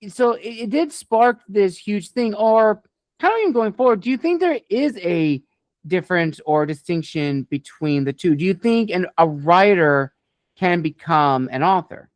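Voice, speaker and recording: A man hosting an interview, putting his questions in deliberate, emphatic phrases. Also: high-pitched, expressive, no room sound, studio quality. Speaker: interview host.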